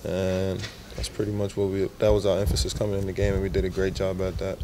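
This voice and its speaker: A young man answers reporters in a locker room after a basketball game, with a fairly low, flat voice. His answers are brief and measured. Clear background noise from the room is heard. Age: young adult. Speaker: basketball player.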